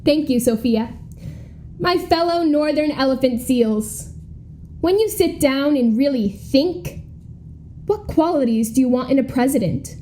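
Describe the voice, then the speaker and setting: Schoolgirl presidential candidate, played by a young woman: high voice, pompous speechmaking, radio comedy, faint background noise. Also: warm tone. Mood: solemn, accusing. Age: young adult. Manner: speechifying, grandiose. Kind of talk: comic radio play acting.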